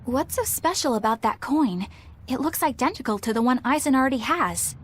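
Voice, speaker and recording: High, spirited young woman. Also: expressive, brisk, slight background noise. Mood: sceptical. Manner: forthright, instructive. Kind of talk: dubbed video game cutscene acting. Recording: music behind the voice.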